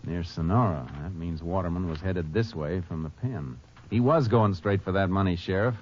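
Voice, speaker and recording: An actor playing a calm Texas Ranger who narrates a 1950s radio drama, talking in a steady, matter-of-fact way. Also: male voice, low voice, normal speed. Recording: dull lo-fi old radio recording with faint hiss.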